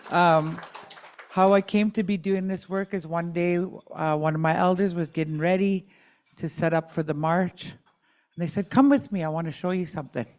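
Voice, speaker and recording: A woman's voice: low-pitched, a little mellow. A middle-aged woman advocate giving candid personal testimony at a community dialogue, with joking asides.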